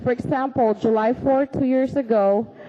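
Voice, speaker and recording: A woman speaks at a podium, earnest and halting as she reads her prepared words. Her voice sits a little high. The recording is muffled and lo-fi. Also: fairly even intonation. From Alaska, raised in the Philippines. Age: 20s. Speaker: public commenter. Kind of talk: reading a prepared statement. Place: city assembly chamber. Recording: dull lo-fi meeting recording.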